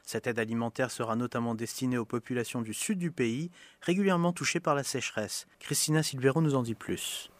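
Male news presenter, mid-pitched, reading scripted bulletins, dry close sound. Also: a little fast, few pauses, dry recording. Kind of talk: scripted radio news narration.

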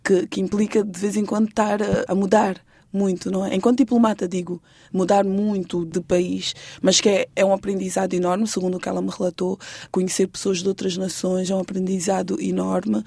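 A woman's voice: mid-range voice, flat delivery, brisk, creaky, mellow, husky, no room sound. A young Angolan woman journalist with an Angolan Portuguese accent, answering interview questions with pride and emphasis, often adding tag questions. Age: young adult. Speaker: journalist, guest.

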